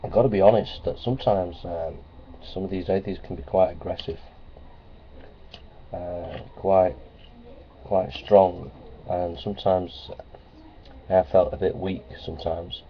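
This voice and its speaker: A man's voice: low voice, steady tone, unhurried. A middle-aged man talking plainly into a webcam in a small office, with lots of ums and repeated phrases. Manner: plainspoken, repetitive, many ums and uhs. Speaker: vlogger. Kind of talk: casual webcam vlog. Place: small office with framed certificates on the wall. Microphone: webcam at close range. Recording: dull lo-fi webcam audio with faint hiss.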